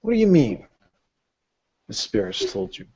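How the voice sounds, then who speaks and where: A man playing a stern female warrior smith, with a terse, deliberate, questioning manner. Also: on the low side, huge pitch swings, dark tone, breathy edge, highs cut off, full character voice. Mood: probing.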